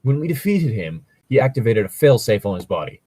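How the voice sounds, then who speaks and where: A man's voice: mid-range voice, sing-song, fairly quick, mellow, husky, no echo. A man reading aloud as a bitter, grieving young wizard who survived a war, in a fan fiction story.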